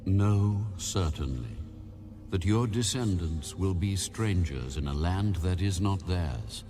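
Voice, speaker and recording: A man's voice: sits low, lively intonation, takes it slowly. A man playing a solemn, commanding God in a dramatized Bible reading, grave and authoritative. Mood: grave, foretelling. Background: music.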